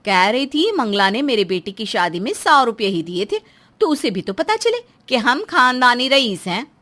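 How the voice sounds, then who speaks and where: A woman performs a young cartoon daughter-in-law with a high, swooping voice. She is chatty and mock-innocent, and tactlessly outspoken in a cheeky way. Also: very animated, normal speed, pushed and bright. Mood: blithely tactless. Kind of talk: Hindi moral story cartoon dialogue.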